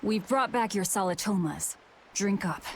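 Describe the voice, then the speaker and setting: A woman playing a brusque, hardened young antiheroine in an English game dub, curt and deadpan. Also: mid-range voice, measured pace, slight background noise, lightly acted. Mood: cool, curt.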